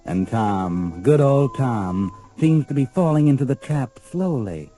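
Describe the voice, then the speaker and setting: Man acting a sinister radio narrator, low mellow voice, animated intonation, insinuating, mocking, American accent, music behind. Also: a little slow, lightly acted. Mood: taunting, ominous. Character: knowing, sinister, taunting storyteller addressing the characters. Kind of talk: 1940s radio drama narration.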